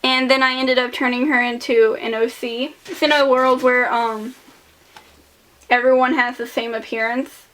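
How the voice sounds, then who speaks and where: A woman's voice: fairly high pitch, steady tone, normal speed, a bit bright, poor audio. A woman in her late teens with a lisp, giving casual, rambling, self-deprecating commentary as an artist showing sketchbooks.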